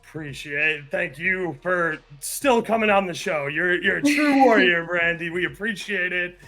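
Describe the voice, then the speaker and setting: A man hosting a sports podcast, giving steady, opinionated breakdowns in casual talk with frequent fillers. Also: high voice, moderate pace, faint music behind. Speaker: podcast host.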